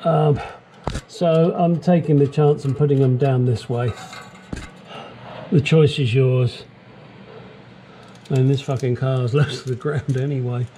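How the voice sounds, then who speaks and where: A man chats through a car repair from underneath the vehicle in his home garage, explaining each step as he goes. His voice is mellow and relaxed, with a mid-range pitch, and he swears and jokes in an easygoing way. Faint clicks and workshop noise sit behind him. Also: slow, pauses often, low-quality recording. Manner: chatty, swears, cracks crude jokes. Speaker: DIY car mechanic. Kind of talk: casual how-to narration while working.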